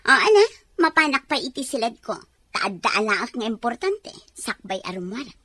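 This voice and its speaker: High-pitched, imperious, bitter Filipino wife played by an actress. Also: female voice, sing-song, normal speed, pushed and bright, no echo, heavily acted. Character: imperious, bitter, jealous wife ordering people around.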